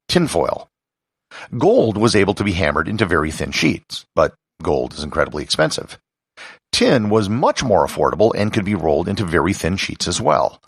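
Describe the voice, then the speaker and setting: An American man from Wisconsin hosting a podcast, delivering scripted educational narration in a husky, gravelly voice with measured, emphatic phrasing. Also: deep voice, no room sound, studio quality. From Wisconsin, United States.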